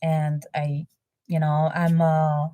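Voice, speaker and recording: Low-voiced woman chatting live. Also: monotone, takes it slowly, no echo. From Benin. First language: French. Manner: chatty, warm thanks, reads chat aloud with many fillers. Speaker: reaction channel host. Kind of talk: live-stream chat with viewers.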